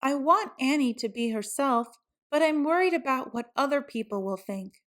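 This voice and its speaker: A woman's voice: high voice, lively intonation, moderate pace, a bit bright, pitched above the performer's own voice. A woman voicing a caring but insistent, worried mother in a children's storybook. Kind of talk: children's storybook read-aloud.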